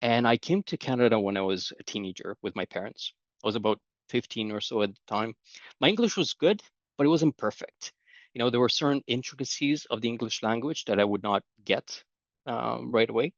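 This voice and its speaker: A man's voice: mid-range voice, brisk, no echo, dull audio. A middle-aged man with a Romanian accent giving a conversational conference presentation, explaining ideas with everyday anecdotes. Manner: conversational, explanatory, tells anecdotes with light laughs. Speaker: conference speaker.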